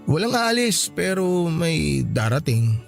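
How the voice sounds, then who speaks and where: An actor playing a meek husband in a radio drama, hesitant, appeasing and defensive. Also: male voice, on the high side, sing-song, slow, creaky, faint music behind, exaggerated voice. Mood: nervous, hesitant. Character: meek, hesitant, placating husband loyal to his brother.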